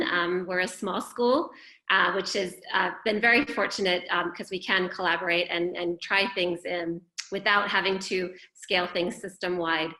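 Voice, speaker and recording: Bright-voiced woman in an echoey room. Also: medium pitch. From Phoenix, Arizona. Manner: upbeat, reflective, self-deprecating. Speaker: head of school, panelist. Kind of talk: webinar panel remarks. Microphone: video call.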